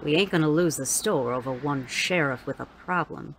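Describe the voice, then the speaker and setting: An actress playing a tough, foul-mouthed Wild West saloon madam in an audio drama, blunt and dry with a Western American drawl. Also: female voice, low voice, moderate pace, a little hiss or hum. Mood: hostile, cutting.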